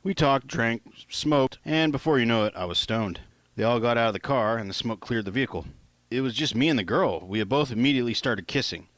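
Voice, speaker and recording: A man's voice: medium pitch, fairly quick, a bit bright, no room sound. A man reading his own first-person novel aloud in a plain, informal amateur narration style.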